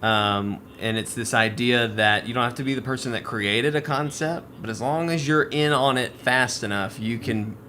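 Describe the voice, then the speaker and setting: A man's voice: mid-range voice, moderate pace, few pauses, pushed and bright, a little hiss or hum. A man hosting a casual gaming podcast, chatting with friends. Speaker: podcast host.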